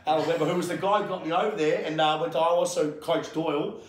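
A man's voice: fairly high pitch, steady tone, fast talker. Fired-up Australian man swearing freely. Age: 30s. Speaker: guest, strength and conditioning coach. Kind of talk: podcast interview. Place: gym, indoors. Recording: echoey gym room.

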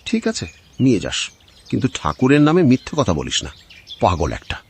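A man's voice: medium pitch, wildly swooping pitch, a little fast, darkish tone, slight background noise. A man voicing a stern but generous village guru in a cartoon, terse and mildly scolding.

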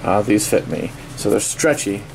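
A man giving a casual, halting, offhand unboxing review at a desk in a small indoor workspace. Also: fairly low pitch, vocal fry. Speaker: product reviewer. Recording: steady noisy room ambience.

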